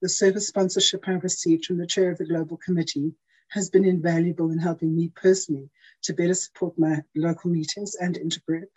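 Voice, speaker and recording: A woman's voice: fairly low pitch, flat delivery, brisk, mellow, slightly rough, poor audio. A woman giving an earnest, prepared service talk over a video call. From South Africa. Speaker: outgoing intergroup chair giving a service talk.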